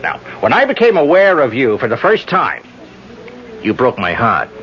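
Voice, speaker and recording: A man's voice: mid-range voice, huge pitch swings, normal speed, a bit bright. An actor playing a charming, world-weary older congressman, wry and avuncular, in a radio drama. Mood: wistful. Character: charming, world-weary old-guard male congressman, a rogue. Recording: muffled vintage radio recording.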